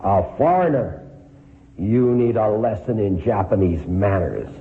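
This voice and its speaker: A man's voice: lowish voice, lively intonation, a little slow, voice pushed down. A man voicing a big, angry drunk laborer who bellows belligerently, heard through a tinny lo-fi line. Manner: roaring, belligerent. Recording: tinny phone-like lo-fi sound.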